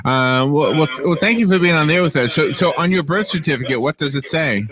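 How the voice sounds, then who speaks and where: A man's voice: on the high side, expressive, brisk, a crowd in the background. Stumbling male radio host. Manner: stumbling, restarts often. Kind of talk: radio interview.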